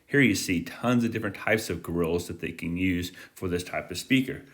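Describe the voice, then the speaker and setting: A man with a somewhat low voice narrates a product tour for viewers. His delivery is informal and approving, as he points out one feature after another. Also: normal speed, a bit bright.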